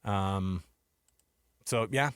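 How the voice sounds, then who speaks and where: A man's voice: sits low, extremely slow, smooth, no room sound. American man, dry close sports radio banter. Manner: conversational, opinionated, slangy, throws out questions. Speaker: sports talk radio co-host.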